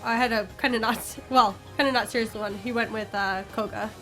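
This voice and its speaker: A woman's voice: fairly high pitch, fairly even intonation, fairly quick, a bit bright, soft background music. A woman co-hosting a panel show, chatting casually in short, informal phrases. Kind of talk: casual chat.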